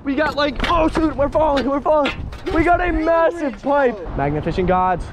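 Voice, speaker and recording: An excitable young man with a high, bright-toned voice chats breathlessly on an action camera. He exclaims at each find and laughs often. Steady outdoor noise runs behind him. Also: normal speed. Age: young adult. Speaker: magnet fishing vlogger. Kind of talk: excited outdoor vlog chat. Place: outdoors by a pond at an abandoned building, then inside it.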